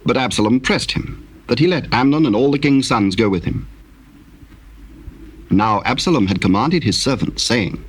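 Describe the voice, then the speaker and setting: A man narrating a dramatized Bible, telling the story solemnly in measured, archaic English. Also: medium pitch, animated, slightly rough.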